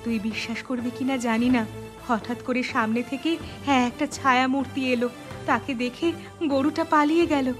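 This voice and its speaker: Woman playing an anxious, high-pitched village female. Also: fairly even intonation, normal speed, music in the background, close to an everyday voice. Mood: troubled. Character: anxious village mother; also her troubled teenage daughter. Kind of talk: horror cartoon dialogue.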